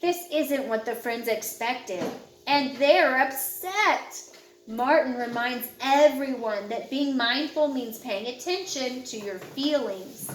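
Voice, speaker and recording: A woman with a high voice narrates a children's picture book. She takes her time, guiding young listeners in a measured, instructional way. The recording sounds roomy and echoey. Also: a little hiss or hum. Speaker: audiobook narrator.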